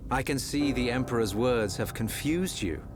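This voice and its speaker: Calm prince guy acting, formal big brother type, game cutscene, music under it. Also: mid-range voice, moderate pace, lightly acted. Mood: reassuring. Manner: formal, composed, reassuring. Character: calm, noble, supportive prince and elder brother.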